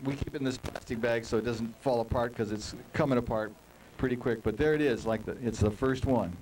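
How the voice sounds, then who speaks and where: A man being interviewed as a board-making guest, talking in a relaxed, rambling, earnest way. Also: medium pitch, brisk, recorded from a distance, slight background noise, rough recording. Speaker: surfboard maker guest. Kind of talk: casual interview.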